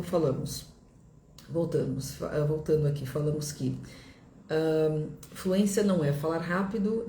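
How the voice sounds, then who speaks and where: Brazilian lady teaching to camera, mellow voice, keeps going "ok?", echoey room. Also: deep voice, slight background noise. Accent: Brazilian Portuguese. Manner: reassuring, repeats herself, checks in with "ok?". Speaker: English teacher. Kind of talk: direct-to-camera video lesson.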